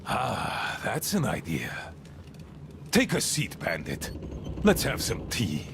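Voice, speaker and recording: A heavily gravelled, deranged old admiral rambles in a slow, scornful drawl that swings widely between mutter and bark. It is dramatic game acting over a clearly noisy rumble. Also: male voice, huge pitch swings, heavily acted. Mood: gruff hospitality. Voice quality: gravelly, creaky. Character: bitter, deranged old admiral holding court over tea.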